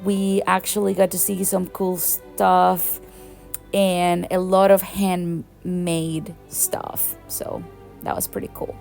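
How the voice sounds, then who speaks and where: A woman's voice: fairly low pitch, little pitch movement, slow, creaky, a little mellow, soft background music. A young woman from Venezuela narrating her travel vlog in a chatty, enthusiastic way, laughing often. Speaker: travel vlogger. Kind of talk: casual travel vlog voice-over.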